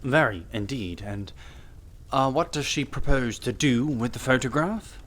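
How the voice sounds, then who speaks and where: A man voicing an aloof, analytical gentleman detective, musing and clipped, with nonchalant hesitations, for an audiobook. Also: medium pitch, animated, measured pace.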